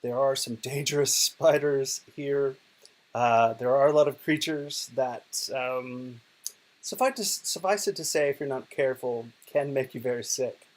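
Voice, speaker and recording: A man's voice: medium pitch, measured pace. Ill American man talking from bed. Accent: American, Oklahoma. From Oklahoma, United States. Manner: relaxed, chatty, many fillers and restarts. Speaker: digital poet and professor. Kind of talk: casual recorded video talk. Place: bedroom, lying in bed. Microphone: self-recorded video.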